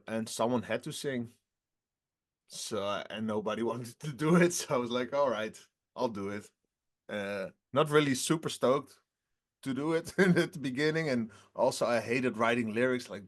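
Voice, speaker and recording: Twenties rock singer guy, stops and starts, chuckles a lot, middle pitch. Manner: halting, laughs often between phrases. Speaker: rock band singer, guest. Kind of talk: casual interview answers.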